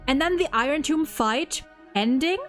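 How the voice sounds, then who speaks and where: Girl gushing about game stuff, kinda high voice, acts out quotes, music behind her. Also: animated, moderate pace, fairly bright tone. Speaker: co-host ranking game story quests. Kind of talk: casual gaming chat.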